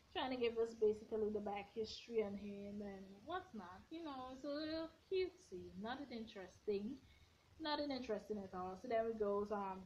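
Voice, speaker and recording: Young woman ranting sassy about reality tv, kinda hoarse, higher voice, dull lo-fi webcam sound. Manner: sassy, blunt, animated hand gestures. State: a bit hoarse. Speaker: reality TV recap vlogger. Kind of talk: casual opinionated recap. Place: bedroom at home, indoors. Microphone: front camera at arm's length.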